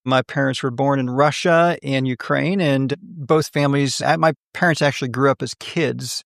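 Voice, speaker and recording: A man's voice: mid-range voice, fairly even intonation, moderate pace, creaky, no room sound, studio quality. A man, a podcast guest and leadership coach, talking earnestly about his background in a casual interview, often repeating words as he starts a thought. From United States. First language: English was his second language. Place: office.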